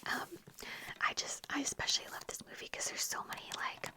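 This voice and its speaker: Woman in a breathy ASMR whisper. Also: brisk. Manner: rambling, informal. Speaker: video host talking to viewers. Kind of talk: whispered ASMR movie chat.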